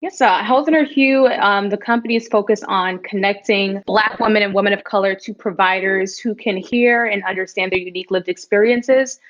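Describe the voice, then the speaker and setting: Woman from New York City, founder guest, earnest, mid-pitched, slightly muffled audio. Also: fairly even intonation, normal speed, hardly any pauses. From New York City, the Bronx. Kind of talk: podcast interview.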